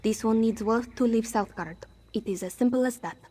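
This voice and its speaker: A woman's voice: on the high side, brisk, dark tone, slightly rough. A woman voicing an anxious, street-hardened female cat-folk thief in a fantasy game, defensive and speaking in formal, contraction-free phrasing. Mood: bitter. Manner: refers to herself in third person, formal contraction-free phrasing. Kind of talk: fantasy game character dialogue.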